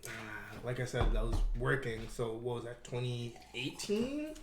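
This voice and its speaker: A man's voice: medium pitch, sing-song, normal speed. A man in casual conversation, talking in a slangy, emphatic way. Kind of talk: casual chat. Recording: roomy echo, faint noise.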